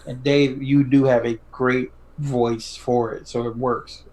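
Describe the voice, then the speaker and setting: A man co-hosts a casual interview podcast. His voice has an average male pitch. He rambles a little as he puts questions and is complimentary to the guest. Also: little pitch movement, slow, a little mellow, rough recording. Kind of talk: casual chat.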